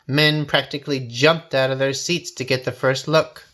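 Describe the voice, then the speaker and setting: A man reading a folksy first-person story aloud in a dramatic, expressive, suspenseful way. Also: medium pitch, moderate pace, background noise. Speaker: storybook reader.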